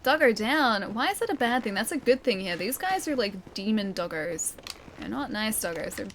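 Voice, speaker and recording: Lively chatty Australian woman. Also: medium pitch, moderate pace, a little hiss or hum. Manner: chatty, laughs often, reads chat aloud. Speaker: streamer. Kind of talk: casual live-stream gaming chat.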